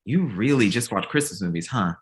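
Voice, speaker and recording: A man's voice: lowish voice, animated, a little fast, close to an everyday voice. A man playing a glib, self-deprecating young filmmaker in a comedy table read, casual and wry.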